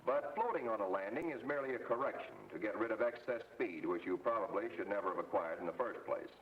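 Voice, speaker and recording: An American man delivers scripted 1940s training film narration as an instructor, in a measured, didactic, clearly articulated way. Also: on the low side, animated, recorded from a distance. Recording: muffled, noisy, roomy vintage film soundtrack.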